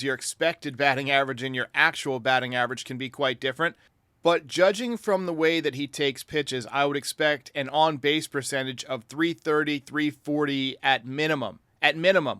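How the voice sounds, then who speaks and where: A man's voice: on the high side, pushed and bright, clean tone, no room sound, clean studio sound. A man hosting a sports podcast, giving commentary in reply to fans. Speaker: podcast host. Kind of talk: sports commentary answering fan voicemails.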